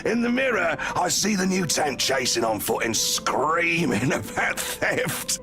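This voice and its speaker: A man playing a smug, flirtatious police chief inspector in a visual novel game, with a deep, suave, teasing delivery. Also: expressive, unhurried, pushed and bright, faint music behind. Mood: gleeful bragging.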